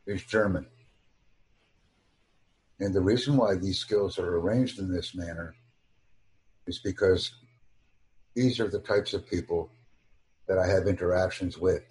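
Husky-voiced, very low male tutor. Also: darkish tone. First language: English. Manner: slow, formal, measured delivery in short phrases. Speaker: English tutor. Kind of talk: online English lesson.

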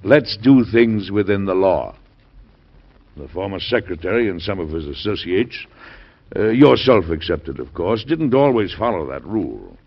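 Genial, principled US president, American accent, low and gravelly, measured radio-drama speechmaking, dull archival sound. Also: male voice, sing-song, heavily acted. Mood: earnest. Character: genial, high-minded American president, quick to indignation. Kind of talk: old-time radio drama acting. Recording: dull, band-limited old radio recording.

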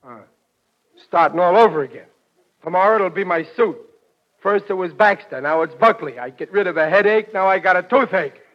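An actor playing a sarcastic, easily exasperated working-class husband who gripes and wisecracks in a 1940s radio sitcom. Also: male voice, high voice, normal speed, lightly acted. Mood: grumbling. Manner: griping, wisecracking, ungrammatical everyday speech. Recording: dull, lo-fi vintage radio recording.

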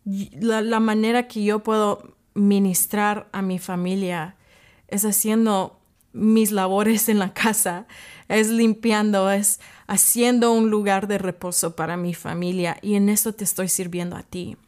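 Female podcast host, English as first language. Earnest devotional encourager, slow delivery with searching pauses and repeated key phrases. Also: mid-range voice, little pitch movement, darkish tone.

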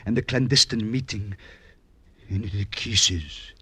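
An actor playing a smooth-talking young suitor, flowery and gushing, in 1940s radio-acting style. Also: male voice, on the low side, takes it slowly, sharp tone, heavily acted. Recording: old radio recording with faint hiss.

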